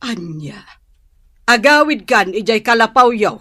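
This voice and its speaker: A woman's voice: fairly high pitch, sing-song, unhurried, sharp tone, full character voice. A woman with an Ilocano accent playing a worried, reproachful middle-aged mother in a drama. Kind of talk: Ilocano radio-style drama acting.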